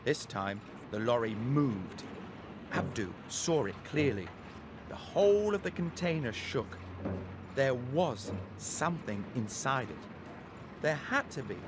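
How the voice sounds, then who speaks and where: Male narrator, children's story, unhurried pace, animated intonation, mid pitch, expressive delivery, faint vehicle noise.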